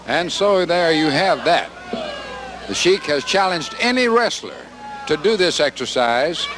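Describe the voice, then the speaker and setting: A man working as ring announcer, formally presenting wrestlers. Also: lively intonation. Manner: booming, drawn-out announcing. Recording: crowd and noise behind.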